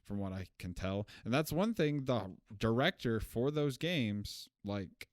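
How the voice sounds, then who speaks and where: A man's voice: medium pitch, expressive, measured pace, no echo, studio quality. A young man co-hosting a podcast, chatting casually about a movie he just saw, rambling and correcting himself. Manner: rambling, self-correcting, laughs at own jokes. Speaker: podcast co-host. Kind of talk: casual film review chat.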